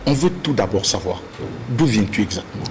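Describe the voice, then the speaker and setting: Animated male TV show host. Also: fairly low pitch, a little fast, slight background noise. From Burkina Faso. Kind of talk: lively studio chat. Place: TV studio.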